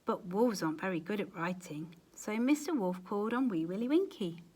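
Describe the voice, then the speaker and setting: A woman reading a children's story aloud with expressive, dramatic emphasis, as a storyteller addressing young listeners. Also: medium pitch, a little fast. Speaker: storyteller, teacher.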